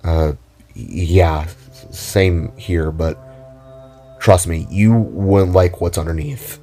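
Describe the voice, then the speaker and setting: A man's voice: sits low, steady tone, takes it slowly, dark tone, faint music behind, pitched below the performer's own voice, heavily acted. A man voicing an anxious, exhausted, shy masked stranger who stammers and mutters, in an audio drama. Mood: nervous, hesitant. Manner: stammering, muttering under his breath.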